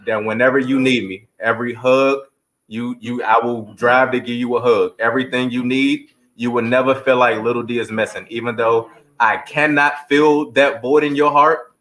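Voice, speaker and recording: A man's voice: mid-range voice, steady tone, moderate pace, fairly bright tone. A man giving heartfelt interview answers as a stage actor, telling stories earnestly and quoting scripture like a preacher.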